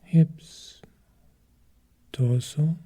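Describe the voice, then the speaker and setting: Very slow, dark-voiced man guiding meditation. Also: fairly high pitch, husky. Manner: calm, measured, short phrases with long pauses. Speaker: meditation teacher.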